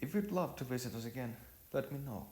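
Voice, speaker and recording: Caring, insistent storybook woman played by a man: lifted pitch, gently chiding, echoey room. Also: expressive, fairly quick, close to an everyday voice.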